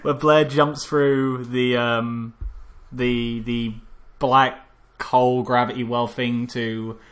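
A man chatting through a film recap at a medium pitch, stumbling over his words with plenty of ums. He keeps breaking into giggles as he goes, loose and unpolished. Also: flat delivery, slow pace, a bit bright. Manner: rambling, with many ums and restarts; giggly, often laughing mid-sentence. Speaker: podcast co-host. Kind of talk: casual movie review chat.

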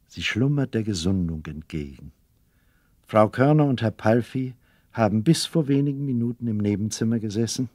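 A man narrating a radio play as a scripted story, with measured, literary delivery. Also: on the low side, a little mellow, no room sound.

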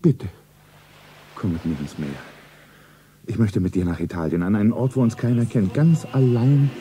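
An actor playing a wealthy middle-aged lover, tender, solemn and secretive, in a radio play. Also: male voice, lowish voice, normal speed, mellow, slight background noise, close to an everyday voice. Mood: pleading. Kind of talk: stylised radio play acting, narrating his own scene. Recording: 1970s radio play, music behind.

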